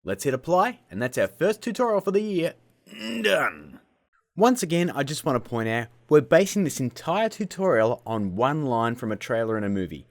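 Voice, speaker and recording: A man hosting a casual software tutorial in a cheeky, self-mocking way, with jokey asides. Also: medium pitch, big pitch swings, moderate pace. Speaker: host of a video-editing tutorial show. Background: music.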